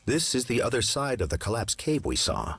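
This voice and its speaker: An actor playing a composed gentleman detective in a video game, husky and purposeful as he guides the player. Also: mid-range voice, very animated, a little fast, no echo, soft background music, exaggerated voice. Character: composed, deep-voiced gentleman detective companion. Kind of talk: video game character acting.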